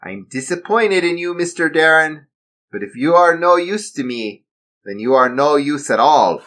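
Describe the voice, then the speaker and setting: A man voicing a menacing villain boss with a put-on German accent, slow and mock-polite. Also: on the high side, animated, sharp tone, pitched above the performer's own voice. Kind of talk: amateur storytelling.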